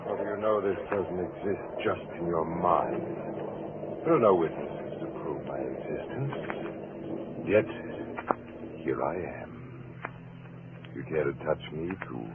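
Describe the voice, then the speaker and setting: An actor playing a coldly vengeful husband posing as a ghost in a 1940s radio mystery, measured, sardonic and taunting. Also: male voice, low voice. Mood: eerie, ominous. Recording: noisy narrowband vintage radio recording.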